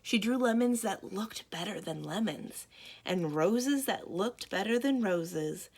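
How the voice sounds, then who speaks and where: A woman reading a children's picture book aloud expressively as a storyteller, with dramatic emphasis and drawn-out words. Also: medium pitch, gravelly. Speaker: library storyteller.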